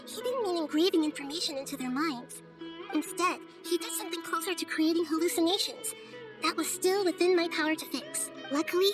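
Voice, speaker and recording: A woman voicing a small, wise child goddess in a game, speaking in a soft, youthful, measured and precise way. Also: extremely high pitch. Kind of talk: anime-style game dialogue. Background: background music.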